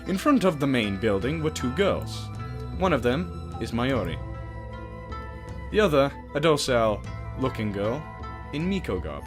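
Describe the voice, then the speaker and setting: A man's voice: mid-range voice, big pitch swings, measured pace. A man giving casual gameplay commentary and reading game text aloud, with sweary, jokey asides. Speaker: let's player. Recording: game music behind the voice. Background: game music.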